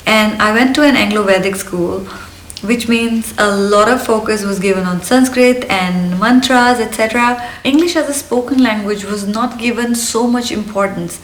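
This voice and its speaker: A woman's voice: medium pitch, moderate pace, a little hiss or hum. Woman, 30s, Indian accent, Hindi native. Chirpy and earnest vlogger, recorded in a live room. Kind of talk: personal get-to-know-me vlog. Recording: roomy, slightly distant mic.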